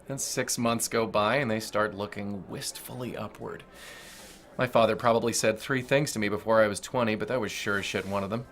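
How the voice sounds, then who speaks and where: An actor playing a crude, domineering corporate boss in an audio drama, mocking and menacing in manner. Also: male voice, lowish voice, fairly even intonation, fairly quick, a bit bright. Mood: menacing, contemptuous.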